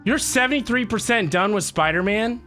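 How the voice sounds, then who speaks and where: Playful, slightly high-voiced male streamer. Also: lively intonation, moderate pace, pushed and bright, faint music behind. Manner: playful, theatrical, mock-dramatic. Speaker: game streamer. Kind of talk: casual live stream chat.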